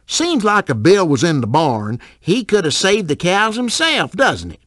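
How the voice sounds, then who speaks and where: A man's voice: high-pitched, sing-song, measured pace, fairly bright tone, dry recording, studio quality, exaggerated voice. A man voicing a simple, good-natured video game farmer with a folksy rural American accent. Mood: earnest, folksy. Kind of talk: video game character dialogue.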